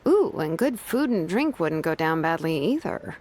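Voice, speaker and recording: A woman voicing a relaxed, easygoing adult blacksmith in a video game. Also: low voice, normal speed.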